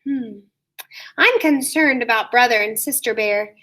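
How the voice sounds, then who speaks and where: A woman's voice: a little high, unhurried, bright, forceful tone, pitched below the performer's own voice. A woman voicing a sensible, concerned mother bear in a storybook, with a sighing manner. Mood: worried. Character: worried, sensible mother bear who sighs.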